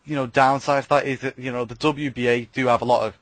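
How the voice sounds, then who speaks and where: A man's voice: mid-range voice, flat delivery, fast talker, no echo. A man co-hosting a casual podcast chat, hesitant and full of "you know" fillers.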